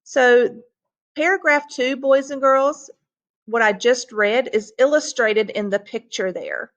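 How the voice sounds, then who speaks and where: A woman's voice: fairly high pitch, slow, dull audio. A woman teacher reading a folktale aloud to students and stopping to explain words with patient restarts.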